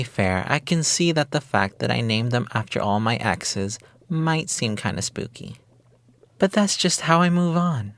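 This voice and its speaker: A man playing a coy, playful romantic partner who is secretly a witch, in an intimate ASMR roleplay, flippant and evasive. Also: mid-range voice. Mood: playful, defensive. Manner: flippant, evasive, teasing, cutesy slang. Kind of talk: intimate ASMR roleplay monologue, girlfriend-experience script.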